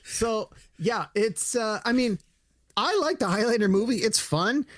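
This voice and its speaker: A man co-hosting a podcast in casual chat, animated, acting out imagined dialogue as he talks. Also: high-pitched, unhurried, no room sound. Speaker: podcast co-host.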